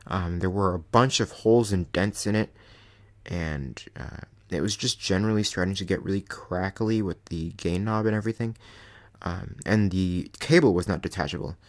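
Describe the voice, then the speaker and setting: A man's voice: low-pitched, moderate pace. A young man hosting his own channel, casually rambling through a microphone review with lots of fillers and self-deprecating asides. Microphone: desk USB microphone.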